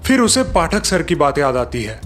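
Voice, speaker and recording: Higher-pitched male cartoon story narrator. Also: very animated, moderate pace, fairly bright tone, faint music behind.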